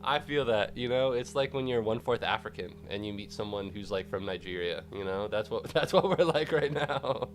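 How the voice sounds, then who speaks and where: A young man chatting casually on a live stream, congested and rambling with excitable outbursts. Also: lowish voice, moderate pace, few pauses, soft background music.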